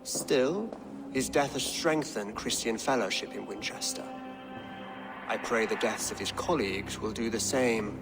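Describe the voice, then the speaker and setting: An actor playing a shrewd, pious Saxon king who speaks formally and with measured eloquence in a video game. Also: on the low side, over music. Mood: measured, guarded. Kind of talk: dramatic video game dialogue.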